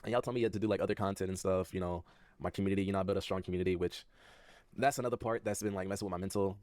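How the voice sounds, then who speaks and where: A man in his twenties speaking candidly to his audience, soft-spoken and self-doubting, with nervous laughs. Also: deep voice, steady tone, racing, no room sound. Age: 20s. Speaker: content creator in a clip being reacted to.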